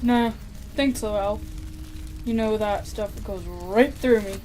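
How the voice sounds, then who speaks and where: A woman's voice: medium pitch, slow pace, warm tone, a little hiss or hum, natural voice, rough recording. Woman voicing a wry, world-weary skeleton monster. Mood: casual. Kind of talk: animated comic dub acting.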